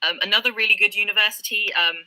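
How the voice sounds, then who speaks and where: A woman's voice: medium pitch, steady tone, fast talker, bright, forceful tone, poor audio. A British woman tutor explaining things in an online live session, with frequent ums and light laughs. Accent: British English. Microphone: video call.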